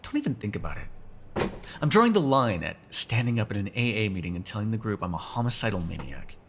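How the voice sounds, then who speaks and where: Male actor as a vampire, very lively swooping intonation, sarcastic, teasing, restless predator, casual audio roleplay, faint background noise. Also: lowish voice, fairly quick. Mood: wry, bantering. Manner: sarcastic, teasing, profane banter. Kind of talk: ASMR roleplay, casual one-sided dialogue.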